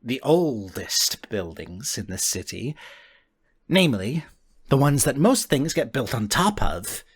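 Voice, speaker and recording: A man's voice: medium pitch, sing-song, slow pace, breathy edge, exaggerated voice. A man voicing a shrewd, wry animal-eared informant in a tabletop game, talking thoughtfully with pondering hums and street slang. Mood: thoughtful, explaining. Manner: thoughtful, hesitant hums, sweary street talk.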